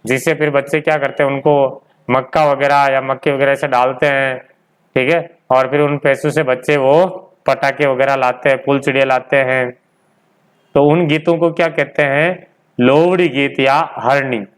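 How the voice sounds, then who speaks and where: A man's voice: medium pitch, fairly even intonation, normal speed, clean tone. A man teaching an informal exam-prep class, repeating key points and posing questions that he answers himself.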